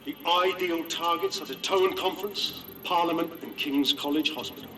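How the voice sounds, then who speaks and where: A man playing a weary, menacing military villain in a game cutscene, speaking in a grim, hushed and breathy way. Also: fairly high pitch, normal speed. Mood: cold menace. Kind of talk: dramatic game cutscene acting. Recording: dramatic music behind the voice.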